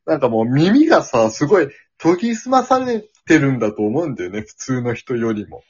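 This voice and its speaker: A man's voice: medium pitch, very animated, a little fast, darkish tone, slightly rough, lo-fi sound. A man co-hosting a podcast in casual, agreeable chat, readily affirming what his partner says. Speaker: podcast co-host.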